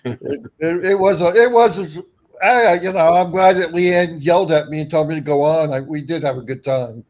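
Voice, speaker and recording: A man's voice: a little high, normal speed, darkish tone. A man chatting casually on a livestream as a guest, rambling and repeating himself, with blunt language and some swearing.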